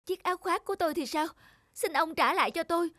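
A woman's voice: extremely high pitch, quick pace, sharp tone, dry recording, studio quality. A woman playing a kind, sorrowful young maiden in a cartoon folktale, speaking politely and earnestly. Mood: pleading.

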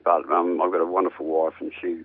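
Very deep-voiced older man. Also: little pitch movement, brisk, dry recording, narrow, tinny audio. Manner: rambling, hums riffs aloud. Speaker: guest musician. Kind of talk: relaxed radio interview.

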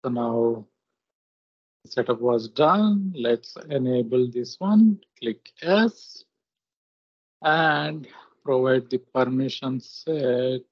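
Husky man narrating a halting software demo. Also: medium pitch, lively intonation, no room sound. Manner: halting, short instructional phrases. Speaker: software tutorial presenter. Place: screen recording voice-over. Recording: muffled lo-fi screen-recording audio.

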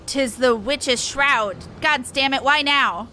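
A woman's voice: sits high, takes it slowly, pushed and bright, slight background noise, full character voice. A woman voicing an anxious airship expert from a fantasy game, formal, explanatory and urgent. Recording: faint music behind.

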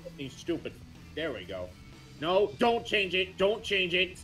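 A man acts out a loud male cartoon crocodile with a lively, swinging voice. He is grumpy and defensive, mocking his friends in off-the-cuff banter, with music behind him. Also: high-pitched, a little slow, exaggerated voice. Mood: irritated. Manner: defensive, easily riled, mocking. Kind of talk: improvised in-character banter.

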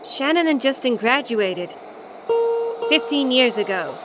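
A woman playing a calm, grave supernatural expert posing as a doctor in a radio drama, measured and gently apologetic. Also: on the high side, loud music behind the voice, poor audio.

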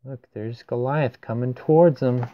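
A man's voice: mid-range voice, a little slow, no echo, dull audio. A man reading a rhyming children's picture book aloud, adding simple casual explanations for young listeners. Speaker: storyteller.

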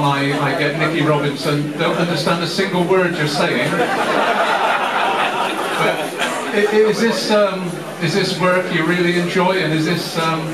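A man's voice: fairly high pitch, heavy background noise. A man interviewing two rugby players at a live event, chatty and joking. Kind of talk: live Q&A hosting. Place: bar with a crowd, indoors. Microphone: handheld mic, far camera. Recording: roomy bar, distant camera, loud crowd.

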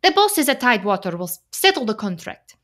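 A woman acting in a radio play as a clever, soothing immigrant wife, speaking measured, formal English. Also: on the high side, very animated, a bit bright. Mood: reassuring.

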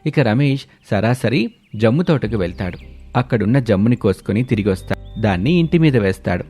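Male narrator for cartoon tales; mellow, dark tone, steady delivery. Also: fairly low pitch, fairly quick, faint music behind. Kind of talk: scripted storytelling narration.

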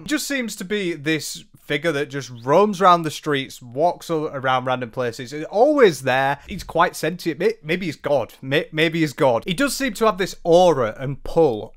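A man's voice: fairly high pitch, a bit bright. Sarcastic young man. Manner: sarcastic, mocking asides. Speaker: commentary YouTuber. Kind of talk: casual reaction commentary. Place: small room indoors, at a desk. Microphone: handheld dynamic mic close up.

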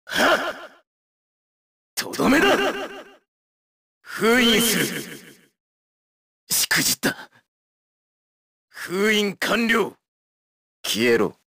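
An actor voicing a cool, forceful male fighter in short video game battle clips. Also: sits high, wildly swooping pitch, extremely slow, vocal fry, pauses often, breathy edge, no room sound, studio quality, exaggerated voice. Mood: fierce, commanding.

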